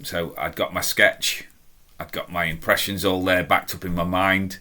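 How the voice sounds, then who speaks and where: A middle-aged man with a deep, strong voice, telling a personal story in a chatty, self-deprecating, emphatic way. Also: little pitch movement, normal speed, pushed and bright. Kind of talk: casual anecdotal storytelling.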